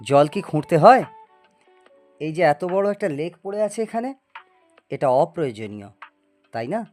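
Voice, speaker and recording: A man's voice: fairly high pitch, expressive, a little slow, a little mellow, faint music behind. A man playing a boastful, eccentric older scientist in an audio story, lecturing and teasing in a grandiose way. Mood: smug, bold. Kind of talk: dramatised audio story acting.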